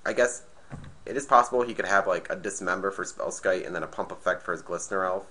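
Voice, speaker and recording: A man with a slightly raspy, nasal voice from being sick, casually commentating a card game match and thinking aloud as he plays. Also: deep voice, quick pace, bright, forceful tone. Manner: thinking aloud, hesitant restarts. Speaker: gameplay commentator.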